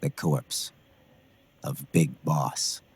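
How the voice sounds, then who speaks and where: A grim warrior in a cinematic game. He is deep and husky, giving out cryptic information in short, solemn, detached phrases. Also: steady tone, drawn-out words, a little mellow, full character voice. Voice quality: husky, low. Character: grim, detached male warrior on a secret mission. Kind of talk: cinematic video game acting.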